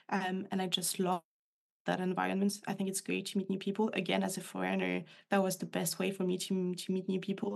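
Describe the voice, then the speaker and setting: A woman with a French accent speaks as a podcast guest, her voice low and gravelly with plenty of vocal fry. She talks in a thoughtful, reflective way, keeping her pitch fairly flat and even. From France. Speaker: guest, new coach. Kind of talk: podcast interview.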